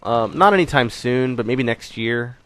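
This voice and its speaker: A man's voice: medium pitch, lively intonation, moderate pace, a bit bright. A young man giving a casual channel update, rambling with many fillers and restarts while still getting over a cold. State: recovering from a cold. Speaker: gaming channel host.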